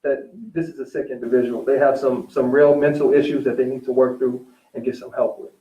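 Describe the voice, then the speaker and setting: A man in a casual discussion, agreeable, choosing his words in a measured, somewhat hesitant way. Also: mid-range voice, level tone, dark tone. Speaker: teacher, talk show guest or co-host. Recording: roomy, dull, distant-mic recording.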